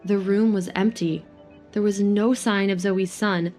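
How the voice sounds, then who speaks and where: A woman's voice: medium pitch, fairly even intonation, measured pace, a little mellow, faint music behind. A woman narrating a dramatic scripted story as an audio drama narrator.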